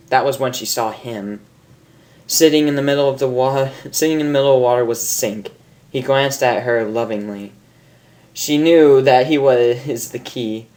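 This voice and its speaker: Male amateur story reader, medium pitch, informal but dramatic, audibly amused, trips over words. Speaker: fan fiction reader.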